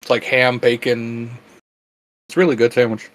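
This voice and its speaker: Male co-host, medium pitch, casual and teasing, quick to joke. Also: little pitch movement, low-quality recording. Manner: jokey, teasing banter. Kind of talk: casual chat.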